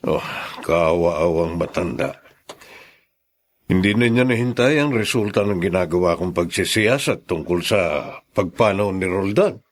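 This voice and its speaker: Radio-drama attorney: lowish pitch, solemn, protective, middle-aged. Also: male voice, a little slow. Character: solemn, protective family lawyer, loyal friend.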